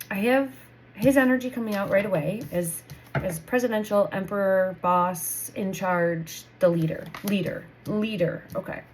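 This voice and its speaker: A woman's voice: mid-range voice, a little slow, a little mellow, a little hiss or hum, poor audio. A woman recovering from a head cold, giving a casual spoken tarot reading. She thinks aloud as she goes, with restarts and repeated phrases. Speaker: tarot reader.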